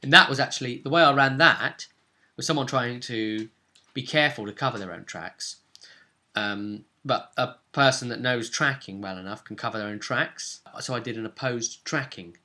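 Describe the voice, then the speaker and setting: A man's voice: medium pitch, normal speed, bright, forceful tone. A man casually recapping a tabletop role-play session as game master, rambling along and often seeking agreement with tag questions.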